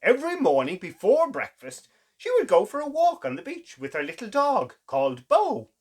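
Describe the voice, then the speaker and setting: Male kids' story host, lively swooping delivery, slightly raspy tone, theatrical pauses. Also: high voice. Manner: exclamatory, theatrical, with dramatic pauses and gasps. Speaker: children's story reader, show host. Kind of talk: animated children's storytelling.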